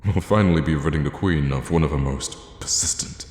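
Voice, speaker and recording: Man playing a very deep-voiced, scheming royal guard. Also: roomy sound. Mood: coolly conspiratorial. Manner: theatrical, hushed, measured, with sighs and pointed pauses. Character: scheming, condescending royal guard, fiercely loyal to his queen. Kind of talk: intimate ASMR fantasy roleplay acting.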